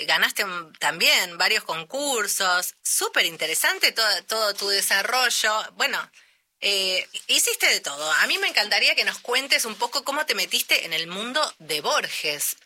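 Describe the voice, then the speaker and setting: Bright-voiced Argentine woman radio host. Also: medium pitch. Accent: Rioplatense Spanish. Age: 40s. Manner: chatty, exclamatory, teasing. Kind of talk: casual radio chat.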